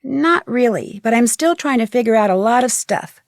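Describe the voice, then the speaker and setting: Eager young lady sleuth in a video game, highish voice, earnest, close dry sound. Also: expressive, moderate pace, no echo. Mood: curious. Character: young woman amateur detective, polite, eager, determined. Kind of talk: acted video game dialogue lines.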